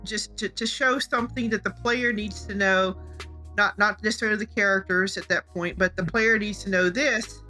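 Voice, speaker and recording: A woman's voice: mid-range voice, fairly even intonation, normal speed, pushed and bright. A woman co-hosting a casual advice talk, speaking informally and conversationally. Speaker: co-host of a game-making show. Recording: music behind the voice. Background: background music.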